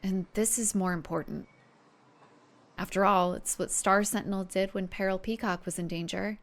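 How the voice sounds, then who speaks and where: A woman's voice: medium pitch, fairly even intonation, normal speed, lightly acted. Earnest young female fan, played by an actress, mid-range voice, natural audio drama acting. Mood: determined.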